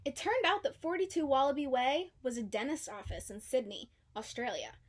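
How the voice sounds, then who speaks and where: A woman's voice: high voice, animated, moderate pace. A woman reading a children's storybook aloud as a narrator. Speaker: storybook reader.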